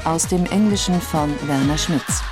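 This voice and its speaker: A woman reads radio-drama credits as a scripted announcement. Her voice is low and the delivery very measured and slow, with music playing clearly underneath. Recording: music behind the voice.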